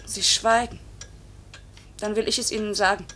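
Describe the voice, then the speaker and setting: An actress playing a pilot's anxious wife, pleading and accusing in urgent questions, in 1950s German radio drama style. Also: female voice, medium pitch, level tone, moderate pace, bright, forceful tone, a little hiss or hum, lightly acted. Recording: 1950s radio play recording.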